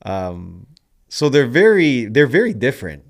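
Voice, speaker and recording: A man's voice: medium pitch, sing-song, measured pace, creaky. A man hosting a podcast, asking questions and backing up his guest in an easygoing way. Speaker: podcast host. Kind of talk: casual podcast interview.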